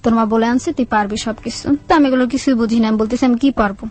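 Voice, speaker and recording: A woman with a Bangladeshi accent tells a personal story in a radio interview, haltingly, repeating words and quoting what people said. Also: a little high, fairly even intonation, a little mellow. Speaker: guest telling own life story. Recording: music under the voice. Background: background music.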